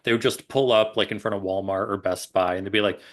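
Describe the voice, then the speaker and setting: A man's voice: on the low side, steady tone, fast. A man in his late thirties chatting casually as a podcast co-host. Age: late 30s. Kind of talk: casual chat.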